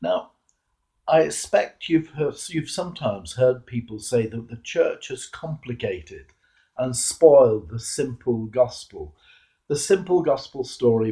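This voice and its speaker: An older man with a British accent, a clergyman reading a homily aloud in a measured, earnest way with deliberate pauses and emphasis. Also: mid-range voice, mellow.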